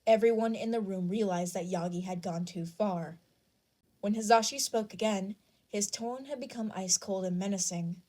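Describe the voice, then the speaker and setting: A woman's voice: medium pitch, normal speed, darkish tone. A woman reading scripted fan fiction as the narrator.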